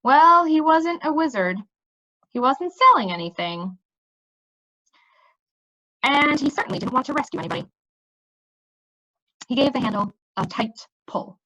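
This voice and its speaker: A woman reading a children's story aloud in an expressive, animated storytelling manner. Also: sits high, highs cut off. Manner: animated, pausing to check in with listeners.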